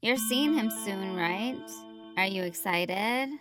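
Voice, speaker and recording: A woman's voice: mid-range voice, slow, lightly acted. A woman playing a friendly, easygoing young college roommate, chatty and inquisitive, in a comic dub. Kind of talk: casual dialogue in a comic dub. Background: music.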